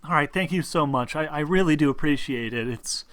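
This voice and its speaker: A man plays an anxious woman client in a tabletop roleplaying game. The voice is bright, with polite, grateful, stammering hesitation. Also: fairly high pitch, a little fast, lightly acted. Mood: relieved, grateful. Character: anxious, grateful female client seeking supernatural investigators.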